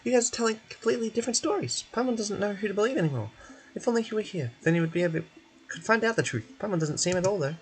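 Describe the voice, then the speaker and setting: Man as small childlike game fairy: squeaky high voice, excitable, quick to question and fret. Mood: puzzled. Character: small childlike excitable female fairy companion, easily alarmed.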